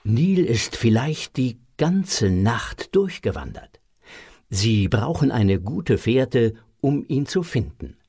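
Slow, expressive male storyteller, slightly husky. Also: mid-range voice. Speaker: narrator of a children's audio drama. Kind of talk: scripted storybook narration.

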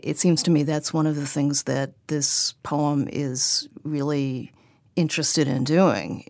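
Female panelist, low register with vocal fry, reflective and articulate literary talk. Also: no room sound, clean studio sound. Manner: articulate, reflective, feeling out phrases. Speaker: poetry magazine editor, podcast panelist. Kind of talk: thoughtful literary discussion.

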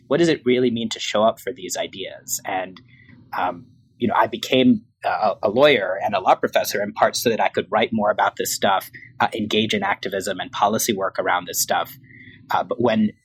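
A man in his late thirties talks in a low, husky voice. He reflects on his life as a podcast guest, pausing often with fillers and restarts. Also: fairly bright tone, rough recording. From New York, raised in Bangkok. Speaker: guest, law professor. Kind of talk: podcast interview.

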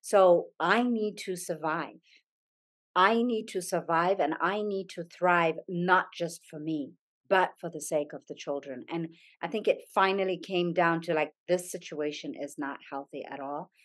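A woman's voice: on the low side, unhurried. A middle-aged woman from Zambia, a yoga studio owner and podcast guest, speaking earnestly and thoughtfully with frequent restarts. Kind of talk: reflective conversational interview.

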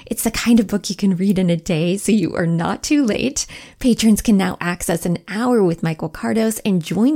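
A woman's voice: medium pitch, gravelly, dark tone. A middle-aged woman hosting a book podcast from home, enthusiastic and gushing as she reads her intro and chats with a guest. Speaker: podcast host. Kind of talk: scripted podcast intro, then casual interview chat. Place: home, Midwest US.

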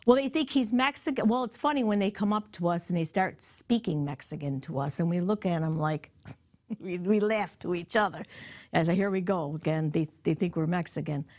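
A woman from East Utica, New York, with an upstate New York accent, chatting casually and telling animated stories. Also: on the low side, brisk. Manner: animated, playful, mimics other people. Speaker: guest, mother of the host. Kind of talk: casual chat, storytelling.

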